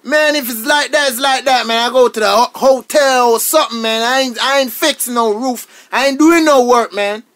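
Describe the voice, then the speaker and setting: A man's voice: extremely high pitch, normal speed, few pauses, a bit bright. A fun-loving visiting cousin acted by a man in a radio family drama. He talks in loose, repetitive slang, forever protesting that he is being put upon. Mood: aggrieved. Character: laid-back, fun-seeking male cousin visiting from New York.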